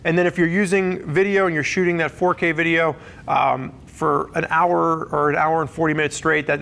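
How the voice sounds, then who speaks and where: High-pitched man presenting a product review. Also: vocal fry, fairly bright tone. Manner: conversational, explanatory. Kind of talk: semi-scripted product review to camera.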